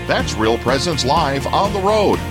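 A man's voice: mid-range voice, very animated, a little slow. A man announcing a radio station promo. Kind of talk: radio promo jingle read. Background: loud music.

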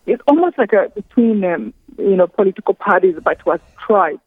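A woman from Rwanda, speaking slowly and earnestly as a guest on a phone interview, often pausing mid-thought. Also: medium pitch, lively intonation, breathy edge, no echo. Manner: slow, earnest, halting with careful pauses. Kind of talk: phone interview, personal testimony. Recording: tinny phone line.

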